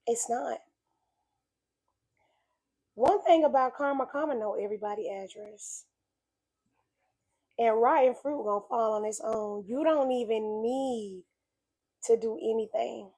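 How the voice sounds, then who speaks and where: Slightly raspy woman, earnest and preachy. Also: a little high, unhurried, pauses often, warm tone. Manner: earnest, preachy, conversational with emphatic repetition. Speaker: vlogger sharing a faith message. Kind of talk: casual spoken reflection.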